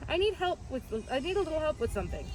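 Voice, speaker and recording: Woman voicing a polite, high-pitched old lady bear. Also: big pitch swings, rapid-fire, a little hiss or hum, low-quality recording. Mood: grateful, hesitant. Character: kindly elderly widowed lady bear neighbour.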